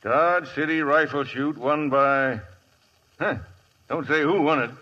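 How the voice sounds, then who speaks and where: An actor playing a hearty, folksy cavalry sergeant in a vintage radio western, exclaiming in homespun talk. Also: male voice, a little high, unhurried, pushed and bright. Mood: eager. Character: folksy, hearty male cavalry sergeant, Civil War veteran. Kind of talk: 1950s radio western acting. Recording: muffled vintage radio recording.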